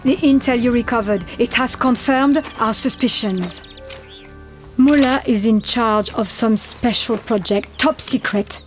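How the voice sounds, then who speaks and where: Woman playing a game Resistance fighter, fairly high voice, practical, commanding, music behind. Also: unhurried, lightly acted. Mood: serious, briefing. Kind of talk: video game cutscene acting.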